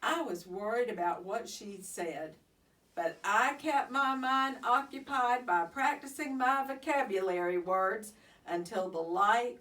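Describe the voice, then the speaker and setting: Slow, expressive woman reading a story. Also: mid-range voice. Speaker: storybook reader. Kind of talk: expressive children's story narration. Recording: slightly distant microphone.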